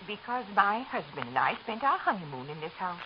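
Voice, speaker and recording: An earnest, gentle homeowner in an old radio play, breathy and gushing. Her pitch swings very widely. The broadcast is dull and lo-fi. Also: very animated, brisk, slight background noise. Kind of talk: 1940s radio acting.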